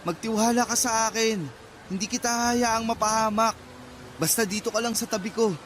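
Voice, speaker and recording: Very high-pitched, protective young man. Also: steady tone, normal speed, fairly bright tone, a little hiss or hum. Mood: reassuring. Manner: reassuring, earnest. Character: gentle, protective, self-doubting young man trapped by flood. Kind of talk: Filipino radio drama acting.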